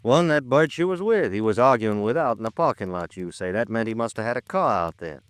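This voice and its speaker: An actor playing a world-weary, plain-talking police lieutenant in an old-time radio detective drama, blunt and colloquial. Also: male voice, mid-range voice, animated, brisk, clear, resonant tone, no echo, close to an everyday voice. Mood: brusque, needling.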